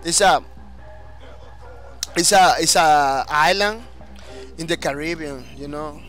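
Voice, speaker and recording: A man's voice: fairly high pitch, slow, a bit bright, faint music behind. A man from the Dominican Republic with a Spanish accent, a game streamer chatting energetically with viewers and restarting his phrases often. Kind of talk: live-stream chat, reading viewer comments.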